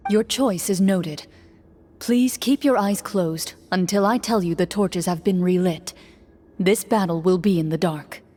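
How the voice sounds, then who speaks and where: A woman voicing a calm, formal female game master who narrates a roleplay in a video game. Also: on the low side, faint music behind, natural voice. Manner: measured, formal.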